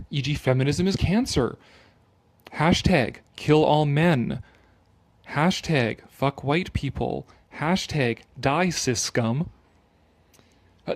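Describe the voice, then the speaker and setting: Higher-voiced man reading aloud with commentary. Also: dry recording. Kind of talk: dramatic reading of an article with off-the-cuff commentary.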